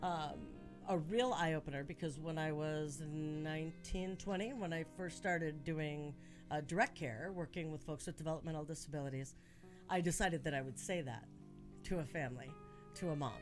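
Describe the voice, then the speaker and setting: A woman's voice: deep voice, moderate pace, over music. An American woman talking casually on a panel in an earnest, emphatic way, repeating phrases for stress. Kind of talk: casual conversational discussion.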